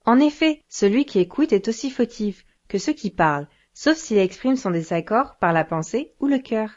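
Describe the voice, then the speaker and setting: A woman's voice: on the high side, animated, normal speed, a little mellow, dull audio, lightly acted. A woman playing a patient, solemn religious teacher in an educational children's cartoon. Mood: patient, instructive.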